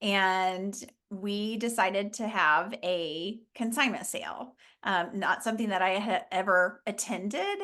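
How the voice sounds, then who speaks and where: A middle-aged woman from Oklahoma, a business founder chatting as a guest, telling her story with quick laughs. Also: mid-range voice, a bit bright. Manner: chatty, laughs often, upbeat. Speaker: franchise founder, interview guest. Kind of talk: casual storytelling.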